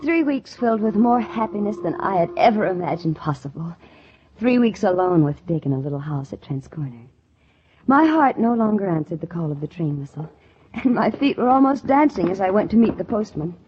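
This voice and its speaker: A woman's voice: medium pitch, very animated, fairly quick, slight background noise, lightly acted. An actress playing an earnest, lovelorn young small-town woman in an emotional, breathless 1940s radio drama style. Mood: contented. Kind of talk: 1940s radio drama acting with first-person narration. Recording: muffled 1940s radio broadcast recording.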